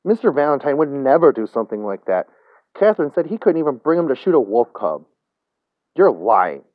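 A man's voice: fairly low pitch, sing-song, measured pace, dry recording, narrow, tinny audio. A man voicing a naive young city man in a story, earnest, easily upset and stammering in protest. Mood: shaken, disbelieving.

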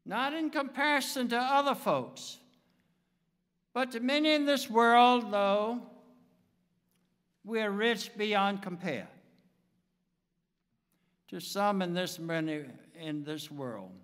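Slow, high-voiced elderly American preacher. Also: male voice, a bit bright. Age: 70s. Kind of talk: sermon with anecdotes. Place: church sanctuary, speaking from the pulpit. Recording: reverberant church hall, long echo.

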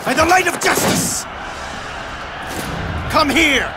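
A man's voice: very high voice, lively intonation, slow pace, bright, forceful tone, a little hiss or hum, full character voice. A man acting a bold battle-hardened warlord in a video game cutscene, declaiming solemnly. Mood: fierce, righteous.

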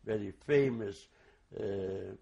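An older man, a native Hungarian speaker, giving oral history testimony in a halting, reflective way with many restarts. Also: fairly low pitch, creaky, dark tone, highs cut off. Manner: slow, reflective recall. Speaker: interviewee.

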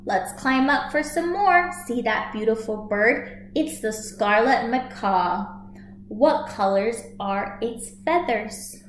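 A woman in her thirties reading a picture book aloud for young children as a storytime host, expressive and clearly paced. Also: fairly high pitch, slow, a little hiss or hum. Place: small room at home, indoors by a shuttered window. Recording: echoey home room.

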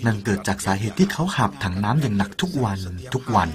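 A man's voice: fairly low pitch, very animated, fast, very noisy. A man narrating a parable in an earnest, persuasive way and speaking directly to the listener. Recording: soft music behind the voice.

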